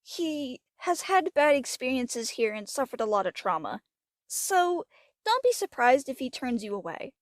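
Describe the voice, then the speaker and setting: Woman acting as a high, lively pony princess. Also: unhurried, dry recording, studio quality. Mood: gently cautioning. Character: kindly pony princess mentor and teenage alicorn princess. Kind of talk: audio drama acting.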